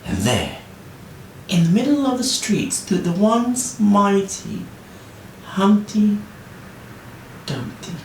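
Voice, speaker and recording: A woman reading a children's story expressively as a teacher, with deliberate phrasing and dramatic pauses. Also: mid-range voice, sing-song, a little mellow. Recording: roomy, distant, faint noise.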